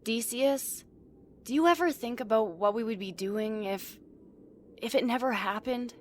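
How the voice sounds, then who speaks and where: A woman's voice: on the high side, measured pace, a little hiss or hum, close to an everyday voice. An actress playing an anxious, earnest young female spellcaster in a fantasy audio drama. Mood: troubled.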